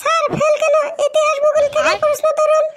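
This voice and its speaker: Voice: extremely high pitch, monotone, fast talker, heavy background noise. A performer voicing a little schoolgirl in a comic cartoon, naive and literal-minded, with an obedient manner. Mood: earnest.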